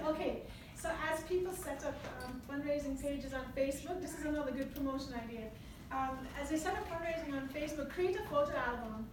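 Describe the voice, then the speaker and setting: A woman from Jamaica giving a lively, chatty workshop talk to a room, laughing often as she tells stories. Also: high-pitched, a little fast, hardly any pauses. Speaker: workshop presenter. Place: large echoey meeting room. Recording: echoey room from far off, noisy.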